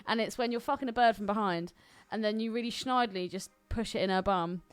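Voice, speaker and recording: A woman's voice: medium pitch, brisk, clear, resonant tone, soft background music. A young woman co-hosting a podcast in casual banter, with a cheeky, irreverent manner. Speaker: podcast co-host.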